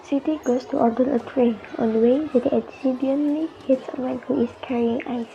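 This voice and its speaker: High-voiced young woman narrator, dull noisy recording. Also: steady tone, fairly quick, creaky, mellow. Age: young adult. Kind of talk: scripted story narration.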